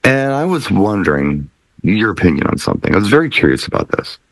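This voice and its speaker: A man's voice: fairly low pitch, sing-song, fast, no room sound. A man hosting a casual panel chat, conversational and often circling back to rephrase his points. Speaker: talk show host, DJ.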